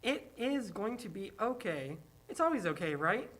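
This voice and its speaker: Trusting young girl voiced by a woman, sharp bright tone, simple comforting manner, for a play reading. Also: mid-range voice, very animated, normal speed. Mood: concerned, comforting. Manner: simple, childlike reassurance. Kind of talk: acted script reading.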